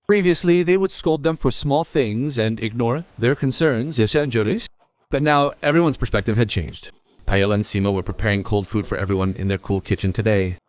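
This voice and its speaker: A man's voice: mid-range voice, fairly quick, darkish tone, no room sound. A man reading scripted narration for a cartoon story, as the narrator.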